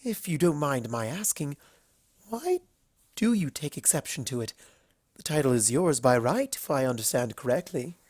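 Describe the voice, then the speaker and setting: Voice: mid-range voice, sing-song, moderate pace, a little mellow, no echo, studio quality. A performer voicing a refined, courteous, slightly anxious female unicorn pony in a storybook reading, with polite, careful phrasing. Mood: courteous, probing.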